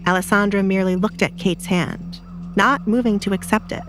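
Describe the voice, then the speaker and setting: A woman's voice: medium pitch, fairly even intonation, normal speed, over music. A woman narrator reading a scripted romance drama.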